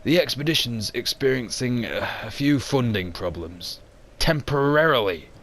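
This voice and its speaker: Man doing a curt gruff ship captain. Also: medium pitch, expressive, moderate pace, a bit bright, close to an everyday voice. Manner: curt, dry, short sentences. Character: gruff seasoned male ship captain, blunt. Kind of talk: amateur motion comic voice acting.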